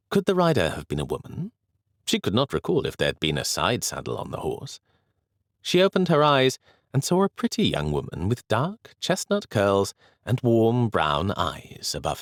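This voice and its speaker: A man narrating a period novel as an audiobook in a measured, expressive storytelling manner. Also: low voice, no echo, studio quality.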